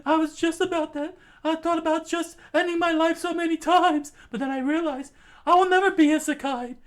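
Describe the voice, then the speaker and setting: Woman voicing jittery teenage anime boy, high-pitched, excitable, rambling, stumbling over words. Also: a little fast. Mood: earnest. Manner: rambling, excitable, breaks into laughs.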